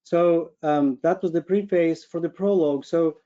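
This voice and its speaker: A man's voice: a little high, normal speed, mellow, dull audio. A man from Ukraine with a Ukrainian accent gives an informal personal presentation, searching for his words in an earnest way. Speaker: webinar speaker, medical physicist. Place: online video call with screen share.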